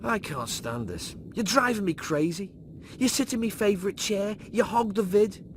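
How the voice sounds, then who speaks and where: An exasperated young Liverpudlian slob, acted by a man, griping and sarcastic in a sci-fi comedy audiobook. The voice is high and forceful, with lively swings. There is some noise behind it. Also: normal speed. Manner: griping, slangy, combative. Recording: noisy background.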